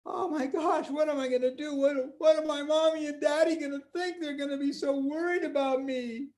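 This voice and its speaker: A man's voice: very high voice, fairly even intonation, a little fast, a little mellow, pitched above the performer's own voice. A man voicing a frightened little boy who stammers anxiously. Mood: worried.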